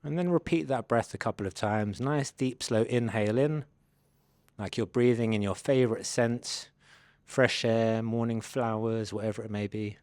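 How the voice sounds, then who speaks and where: A man leading a guided body scan meditation, giving gentle, unhurried invitations as a mindfulness instructor. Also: mid-range voice, no room sound.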